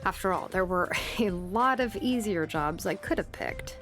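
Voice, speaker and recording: A woman voicing a laid-back, sleepy young female blacksmith and name engraver from a video game, languid and nonchalant with soft hesitations. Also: on the low side, animated, a little slow. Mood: matter-of-fact. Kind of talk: video game character voice lines. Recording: music behind the voice. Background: music.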